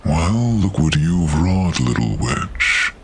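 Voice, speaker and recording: An ancient wolf spirit as acted by a man speaks in an extremely deep voice. The guardian is solemn yet gentle, with slow, measured words suited to a fantasy audio story. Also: big pitch swings, background noise, heavily acted. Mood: solemn, tender. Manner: slow, grave, measured delivery.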